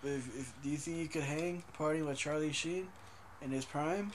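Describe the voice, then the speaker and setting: A man's voice: a little high, normal speed, slight background noise. A young man co-hosting a casual podcast, teasing his friend and asking one question after another. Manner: teasing, asks lots of questions. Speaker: podcast co-host. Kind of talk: casual profane chat.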